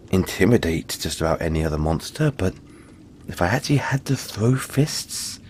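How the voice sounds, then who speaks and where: A man voicing a big, friendly, apologetic yeti in an audio roleplay, speaking gently to the listener in a halting, chatty, playful way. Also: sits low, a little hiss or hum.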